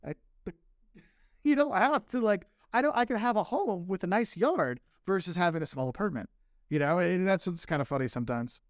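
Animated high-voiced American man. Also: a little fast, no room sound, clean studio sound. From United States. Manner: animated storyteller, acts out quoted voices. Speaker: marketing professor and travel vlogger, guest. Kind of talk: casual podcast conversation.

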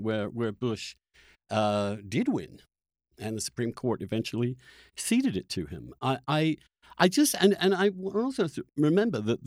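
A man's voice: on the high side, dry recording, clean studio sound. A man hosting a debate-style interview, challenging his guest in a skeptical, insistent way. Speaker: podcast host and interviewer.

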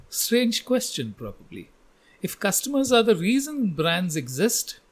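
A man hosting a podcast and reading scripted narration. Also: high voice. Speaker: podcast host.

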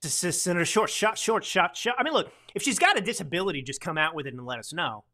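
A male podcast host whose voice sits high for a man and comes across bright. He talks in a sarcastic, mocking tone and rambles with frequent restarts. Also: animated, fast. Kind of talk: political commentary podcast.

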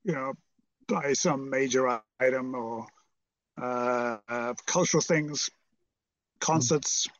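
A man's voice: medium pitch, takes it slowly, pauses often, no room sound, dull audio. A British man in his seventies from Merseyside, talking reflectively as an interview guest in a hesitant, rambling, understated way. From Merseyside, England. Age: 70s.

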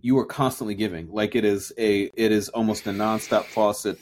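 A man's voice: fairly low pitch, steady tone, a little mellow, slight background noise. Reflective man in casual podcast talk. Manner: thoughtful, restarts often, drawn-out phrases. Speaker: podcast co-host. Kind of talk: casual discussion.

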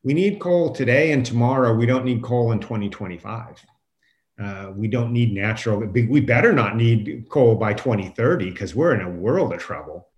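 A man's voice: mid-range voice, expressive, moderate pace, dull audio. Male expert in a roomy space. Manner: explanatory, emphatic, quotes imagined objectors. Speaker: guest professor and climate scientist. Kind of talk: unscripted interview discussion. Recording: roomy, echoing sound.

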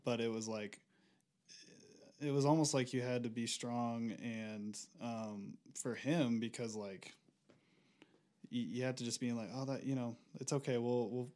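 A young man speaking as a podcast guest, reflective and hesitant, working through his thoughts with frequent pauses. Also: medium pitch, fairly even intonation, a little mellow. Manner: reflective, hesitant, many fillers and restarts. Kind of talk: casual conversation.

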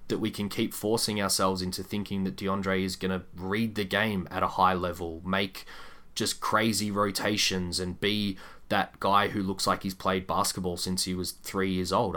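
Man, lowish pitch, sports podcast co-host, casual, measured, analytical, candid. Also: steady tone, fairly bright tone. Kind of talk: casual basketball discussion.

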